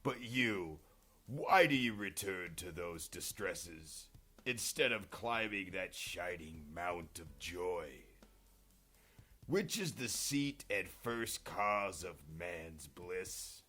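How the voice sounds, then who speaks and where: A man reading an epic poem aloud in a solemn, theatrical, deliberate way, as dramatic recitation. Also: lowish voice, sharp tone. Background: music.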